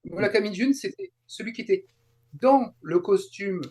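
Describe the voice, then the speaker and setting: A man chatting casually as an interview guest, explaining in halting, repetitive phrases. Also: high voice, expressive, a little mellow. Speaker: guest, translator and YouTuber. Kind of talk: casual interview chat about a fan event.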